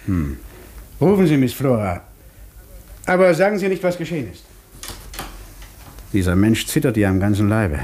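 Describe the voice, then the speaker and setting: An actor playing a brisk, authoritative police inspector who questions and muses in a 1950s German radio play. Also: male voice, on the low side, very animated, darkish tone. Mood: musing, suspicious.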